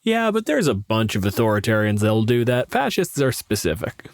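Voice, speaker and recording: A man's voice: fairly low pitch, sing-song, moderate pace, no room sound, clean studio sound. A man co-hosting a podcast in casual chat, explaining things in a wry, sweary way. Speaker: podcast co-host and gamemaster.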